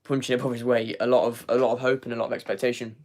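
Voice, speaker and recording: A man's voice: mid-range voice, flat delivery, racing, darkish tone. A young man hosting a live football fan review, losing his voice, rambling with repeated words and many fillers. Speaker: fan channel host. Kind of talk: live match review, reading chat.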